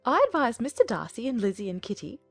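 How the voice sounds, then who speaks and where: Shrill, fussy, excitable mother. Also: fast, vocal fry, faint music behind, heavily acted. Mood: brisk, scheming. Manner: exclamatory, gushing complaint. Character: fussy, excitable middle-aged English mother, easily vexed. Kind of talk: dramatized audiobook acting.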